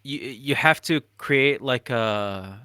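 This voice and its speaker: Bright-voiced man in casual podcast banter. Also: mid-range voice, slow pace, clear, resonant tone, no echo, studio quality. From Egyptian background. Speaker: podcast host. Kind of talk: casual comedic chat.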